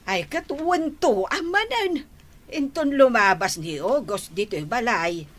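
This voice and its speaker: A woman's voice: on the high side, sing-song, takes it slowly, heavily acted. A woman with a Northern Philippine accent playing a watchful, grumbling mother of a grown daughter in a radio drama.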